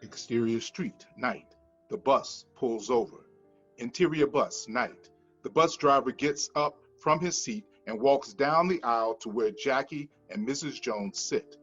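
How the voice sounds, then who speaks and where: A man's voice: mid-range voice, measured pace, a bit bright, muffled recording, faint music behind. A man reading the stage directions of a screenplay at a table read in a matter-of-fact manner.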